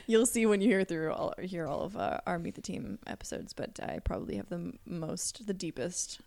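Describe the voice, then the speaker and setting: Chatty young woman with a gravelly edge. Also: fairly low pitch, sing-song, moderate pace, a little mellow. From Alberta, Canada. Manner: chatty, restarts sentences, asks the questions. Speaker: podcast host and producer. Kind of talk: casual team chat.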